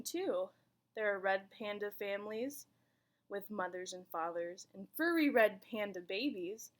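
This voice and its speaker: A woman reading a children's picture book aloud in an expressive storytelling manner, with dramatic pauses. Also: medium pitch. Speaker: volunteer story reader.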